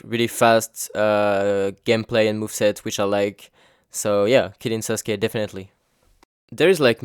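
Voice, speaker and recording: A man's voice: on the low side, smooth, no room sound, studio quality. A man from France with a French accent, a native French speaker, chatting casually and informally as a featured gamer. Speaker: gamer and video editor, featured guest. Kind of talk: casual chat about gaming.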